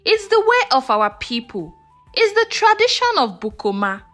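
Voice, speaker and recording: A woman's voice: sits high, sing-song, moderate pace, fairly bright tone, soft background music. A woman voicing an earnest village mother who instructs on tradition in a folktale. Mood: solemn.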